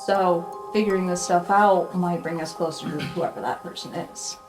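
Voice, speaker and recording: A woman's voice: lowish voice, steady tone, moderate pace. A woman chatting casually as a player in an improvised tabletop roleplay game. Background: background music.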